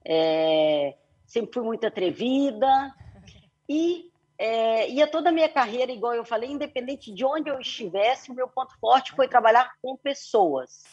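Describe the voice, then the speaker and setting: A woman's voice: a little high, expressive, unhurried, darkish tone, slightly rough, lo-fi sound. A middle-aged woman from Belo Horizonte with a Mineiro Brazilian accent, telling her story at length as a guest on an online panel, with many "né" fillers. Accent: Mineiro Brazilian Portuguese. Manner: long flowing telling with frequent "né" and "é" fillers. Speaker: guest speaker, company CEO.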